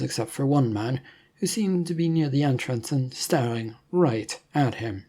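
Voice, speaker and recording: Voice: mid-range voice, creaky, mellow, husky. A narrator reading an adventure story from a script in a storytelling style.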